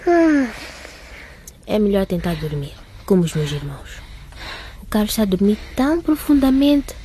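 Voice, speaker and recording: An actress playing a troubled teenage girl, softly and anxiously thinking aloud in a radio drama. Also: mid-range voice, sing-song, moderate pace, a little hiss or hum, natural voice. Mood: worried, musing. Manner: soft, anxious musing. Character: troubled, pregnant fifteen-year-old girl.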